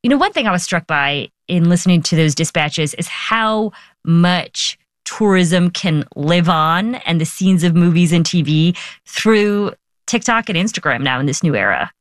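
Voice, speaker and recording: A woman's voice: fairly low pitch, lively intonation, normal speed, no echo. A woman working as a news co-anchor, speaking reflectively in a studio.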